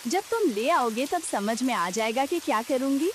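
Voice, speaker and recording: Woman acting a high-pitched stubborn village wife. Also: lively intonation, normal speed. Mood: coaxing. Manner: insistent, scolding. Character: stubborn, nagging village wife, bossy yet homely. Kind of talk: cartoon story dialogue acting. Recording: hiss with rain and sizzle noise.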